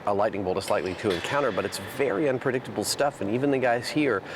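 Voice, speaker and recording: A man's voice: lowish voice, fast. Guy walking thru a test setup outdoors, matter of fact, faint outdoor hum behind. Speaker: co-host. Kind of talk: explaining an experiment on location. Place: outdoor testing facility.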